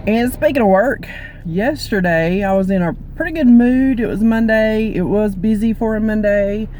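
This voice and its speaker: A middle-aged woman with a hoarse, froggy voice, chatting casually and rambling while she drives. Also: mid-range voice, normal speed. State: hoarse from coughing. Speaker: vlogger. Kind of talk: casual chat while driving. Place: inside a moving car. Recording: loud car road noise.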